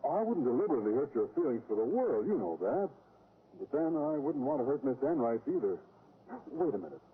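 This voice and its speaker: An actor playing a naive, mild-mannered schoolteacher, earnest and innocent, in an old radio sitcom. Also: male voice, mid-range voice, sing-song, fairly quick, natural voice. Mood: apologetic. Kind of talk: 1940s-50s radio sitcom acting. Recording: tinny vintage radio with faint noise.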